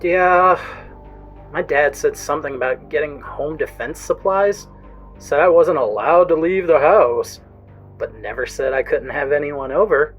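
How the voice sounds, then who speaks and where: A man's voice: a little high, a little slow, soft background music, natural voice. A man playing an awkward, earnest, hesitant teenage boy in an audio drama. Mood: nervous, awkward. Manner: hesitant, apologetic. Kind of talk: first-person audio drama narration and dialogue.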